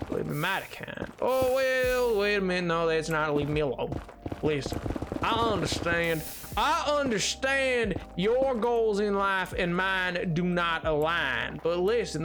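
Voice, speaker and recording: A man's voice: a little high, background noise, close to an everyday voice. A man voicing an easygoing, polite cowboy with a drawling Southern US accent and a folksy, rambling, repetitive way of talking on a livestream. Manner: folksy, rambling, repeats himself. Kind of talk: improvised livestream banter. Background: music.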